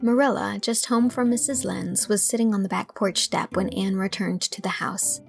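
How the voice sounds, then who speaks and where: A woman's voice: mid-range voice, moderate pace, creaky, music in the background. A woman giving scripted literary narration as an audiobook reader.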